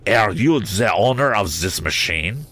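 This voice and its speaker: A man voicing a fussy, boastful little Frenchman with a French accent in a storybook reading, theatrical and excitable. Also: lowish voice, measured pace, slightly rough, heavily acted. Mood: boastful, challenging. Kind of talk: audiobook character voice.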